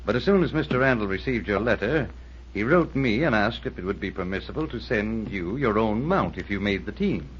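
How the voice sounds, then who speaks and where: An actor playing a kindly, authoritative major at a military academy, formal and explanatory, in old-time radio style. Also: male voice, on the low side, expressive, fairly quick, natural voice. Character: measured, kindly, authoritative middle-aged army major running academy. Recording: muffled, noisy old radio recording.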